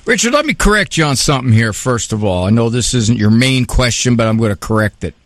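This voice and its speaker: Radio advice host guy, blunt and emphatic, very animated pitch, dry close sound. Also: quick pace, no echo.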